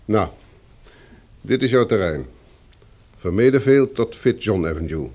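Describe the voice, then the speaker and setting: A man's voice: on the low side, animated, measured pace, a little mellow. A man acting in a Dutch radio play as a bossy, hard gang leader who gives curt orders. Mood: brisk, stern. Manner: curt, commanding.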